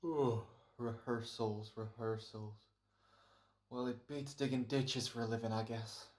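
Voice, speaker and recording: A man's voice: medium pitch, fairly even intonation, a little slow, darkish tone, lightly acted. A man playing a wry, wisecracking radio actor in an old-time comedy-horror drama, sardonic and theatrical. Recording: roomy echoing home recording.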